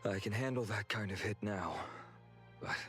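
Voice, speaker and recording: Low-voiced earnest young hero played by a man. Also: moderate pace, fairly bright tone, lightly acted. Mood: steady, grateful. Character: earnest young male swordsman hero, friendly and resolute. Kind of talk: English video game voice acting. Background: background game music.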